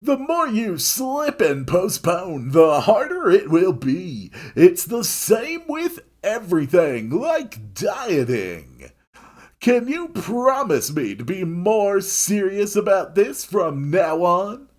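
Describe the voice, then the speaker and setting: Male school nurse, visual novel character, done by a male reader: high, slow, emphatic, chiding yet jolly, over music. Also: big pitch swings, exaggerated voice. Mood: stern, earnest. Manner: drawn-out, emphatic, chiding delivery. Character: jovial but stern male school nurse, lecturing.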